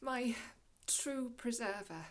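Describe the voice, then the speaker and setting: A solemn, commanding aging sorcerer played by a woman delivers a classical Shakespearean monologue. The voice sits fairly high and moves very slowly, with weighty pauses and a measured, theatrical shaping of each phrase. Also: animated. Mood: solemn, tender. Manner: measured, dramatic, long pauses, swings from hushed to declaiming.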